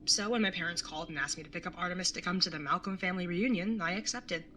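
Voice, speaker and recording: A woman's voice: lowish voice, fast talker, bright, forceful tone, a little hiss or hum. A woman playing a wry, jaded young artist bartender in an audio drama, sardonic and casually sweary as narrator and older sibling. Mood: regretful, candid. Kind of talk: first-person audio drama narration and dialogue.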